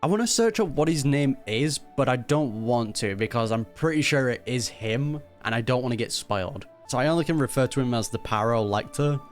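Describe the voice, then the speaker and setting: Casual male gaming streamer, mid-pitched. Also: expressive, a little fast, hardly any pauses. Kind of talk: casual reaction commentary. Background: game music.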